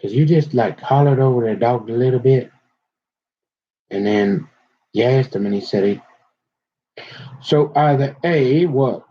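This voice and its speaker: A man's voice: medium pitch, unhurried, long pauses, breathy edge. A man in a rambling, casual monologue, talking straight to his viewers and restarting his sentences often. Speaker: vlogger. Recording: dull lo-fi audio.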